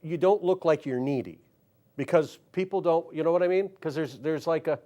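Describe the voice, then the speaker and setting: A man's voice: on the high side, brisk, warm tone. A man hosting a casual talk show, rambling and cutting himself off mid-sentence. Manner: rambling, self-interrupting.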